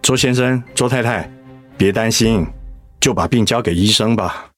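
A man playing a calm, tactful doctor in a radio drama, measured, professional and reassuring. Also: fairly low pitch, soft background music, lightly acted.

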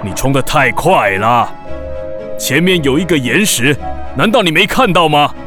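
A man's voice: on the high side, animated, normal speed, music in the background, natural voice. A man voicing a stern, critical older sea snail uncle in a children's audio drama, blunt and fault-finding. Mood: critical, scornful.

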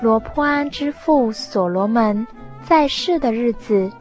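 A woman narrating a scripted radio drama in a measured storytelling manner. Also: on the high side, lively intonation, darkish tone, faint music behind.

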